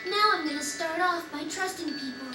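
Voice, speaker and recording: Sweet earnest game girl, really high voice, kinda sad but determined, echoey w music. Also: normal speed. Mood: wistful, resolute. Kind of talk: English video game dub acting. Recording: roomy game audio, distant, music over it.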